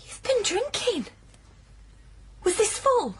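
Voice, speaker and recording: An actress playing an earnest, insistent, worried friend in a radio drama. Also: female voice, very high voice, sing-song, slow pace, full character voice. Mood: concerned, firm. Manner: urgent, concerned, insistent. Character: earnest, worried female friend, firm and caring.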